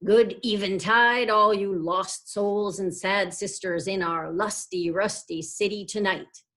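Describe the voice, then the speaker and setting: A woman's voice: medium pitch, steady tone, slow pace, a bit bright. A woman acts out a big, hearty radio man working the night shift in a storybook. He delivers his patter theatrically, addressing his listeners with showy flourish. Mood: showy, soothing.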